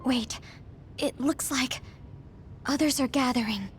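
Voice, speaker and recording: An actress playing a reserved young female teammate in a video game, speaking in halting, measured phrases. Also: high voice, soft background music. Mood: cautious. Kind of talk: scripted video game cutscene acting.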